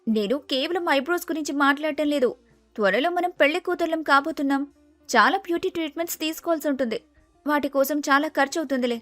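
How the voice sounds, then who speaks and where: An excitable, vain young bride-to-be played by a woman in a storybook story. Her voice is very high, insistent and impatient, recorded dry with soft music underneath. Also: fast talker, dry recording. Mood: eager, demanding. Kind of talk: acted storybook dialogue.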